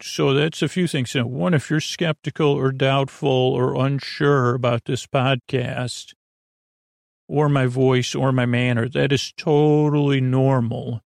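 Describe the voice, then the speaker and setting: A man with a creaky voice hosting a sleep podcast, rambling in a lulling, soothing way with halting tangents and word fragments. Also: mid-range voice, level tone, dry recording, clean studio sound. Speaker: podcast host, bedtime storyteller. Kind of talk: meandering bedtime monologue for a sleep podcast.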